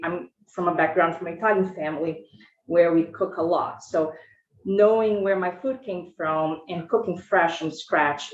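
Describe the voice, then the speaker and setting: A woman from Brazil with a Brazilian Portuguese accent, testifying informally and earnestly as a school food service director. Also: fairly low pitch. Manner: informal, self-correcting, earnest. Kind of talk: committee testimony. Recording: echoey, muffled hearing room audio.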